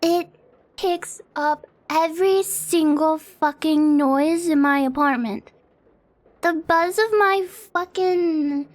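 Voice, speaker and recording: High-voiced, unhurried young woman streamer. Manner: rambling, self-deprecating, swears casually. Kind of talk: casual stream chat. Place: home apartment streaming setup. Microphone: close desk mic on an arm.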